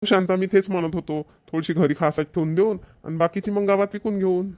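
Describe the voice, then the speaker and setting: A man's voice: high-pitched, steady tone, quick pace, a little mellow, natural voice. A man voicing an agreeable, easygoing middle-aged farmer husband in a cartoon comedy, with a rural Maharashtrian Varhadi accent. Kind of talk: Marathi cartoon comedy voice acting.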